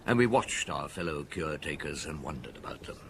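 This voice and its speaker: Deep-voiced, wry middle-aged detective. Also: male voice, sing-song, a little fast, a bit bright, slight background noise, exaggerated voice. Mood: wry reminiscing. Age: 50s. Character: wry fifty-year-old French police detective on holiday. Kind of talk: audio drama dialogue and storytelling.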